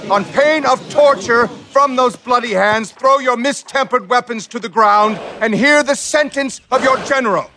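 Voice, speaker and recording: Outraged general, high forceful declaiming. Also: male voice, a little hiss or hum. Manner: declamatory, stern, imperious. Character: outraged, commanding military ruler rebuking brawlers. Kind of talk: Shakespearean audio drama.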